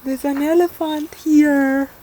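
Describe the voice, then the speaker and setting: A woman narrating a casual vlog in a hushed, excited way while watching an elephant at night outdoors. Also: high voice, takes it slowly, slight background noise, rough recording.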